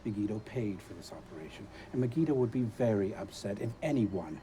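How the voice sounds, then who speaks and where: A man playing an imperious, sardonic military tycoon in a video game cutscene, speaking with deliberate, condescending dryness. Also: lowish voice, lively intonation, warm tone, slight background noise, close to an everyday voice. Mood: stern, threatening. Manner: condescending, deliberate, dryly mocking.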